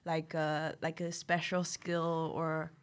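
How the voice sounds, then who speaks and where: A woman's voice: low-pitched, completely flat delivery. Thoughtful young woman from Taiwan. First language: Mandarin. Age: young adult. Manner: thoughtful, measured, uses "like" as filler. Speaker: podcast guest. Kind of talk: casual chat.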